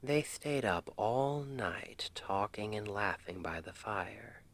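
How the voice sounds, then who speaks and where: A man playing a tender, playful boyfriend in an ASMR roleplay, speaking in a gentle, intimate half-whisper to soothe his partner to sleep. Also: fairly low pitch, lively intonation, slow, exaggerated voice. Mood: soft, playful storytelling. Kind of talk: ASMR roleplay, soft bedtime storytelling.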